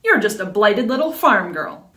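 A woman's voice: mid-range voice, very animated, fairly quick, recorded from a distance. A cold, confident apprentice hunter girl who drawls her threats with scorn, as a woman reads her. The reading echoes in a roomy space. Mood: scornful. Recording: roomy echo.

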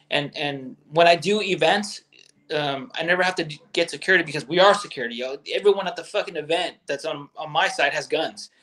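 A man's voice: a little high, a bit bright. A man in his thirties, a guest business owner, talking bluntly and with lots of swearing in a candid chat over a video call from a bedroom at home. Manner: blunt, profane, emphatic.

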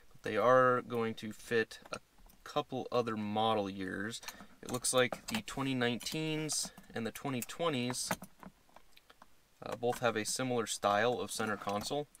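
A man's voice: medium pitch, a bit bright. An American man in his thirties giving an easygoing, casual step-by-step how-to demonstration inside a vehicle. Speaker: product demonstrator. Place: inside a parked pickup truck cab. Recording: clear background noise, clicks and handling sounds.

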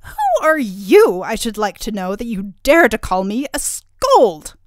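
A woman voicing a haughty, quick-tempered princess in a fairy tale, indignant and scornful. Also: fairly high pitch, clear, resonant tone, no echo, clean studio sound, natural voice. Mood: outraged.

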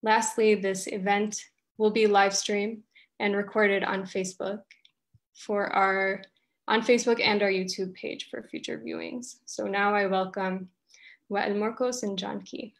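A woman hosting an online artist talk, reading a prepared introduction in an even, measured way over a video call. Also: mid-range voice. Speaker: event host.

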